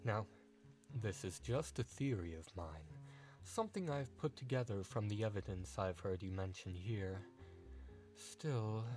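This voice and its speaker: A man's voice: lowish voice, mellow. A man playing a smug, condescending trial witness in an audio drama, with a mocking, theatrical manner. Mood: calculating. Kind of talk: amateur audio drama acting.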